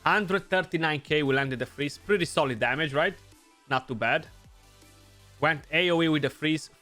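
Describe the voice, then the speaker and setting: A man's voice: fairly high pitch, moderate pace, bright, forceful tone, faint music behind. A young man with a Romanian accent giving casual gameplay commentary, thinking aloud and often adding "you know". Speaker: gaming YouTuber.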